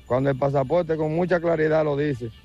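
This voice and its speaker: A man's voice: a little high, fairly quick, dark tone, lo-fi sound, soft background music. A man calling in to a radio show, speaking in an indignant, complaining way. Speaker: caller.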